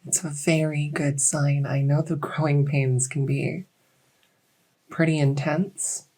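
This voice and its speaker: A woman playing a gentle, reassuring doctor in a soft-spoken medical roleplay, speaking hesitantly with frequent pauses. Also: low-pitched, flat delivery. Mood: gentle, comforting. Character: gentle, reassuring female doctor in a fantasy transition clinic. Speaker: roleplay audio performer.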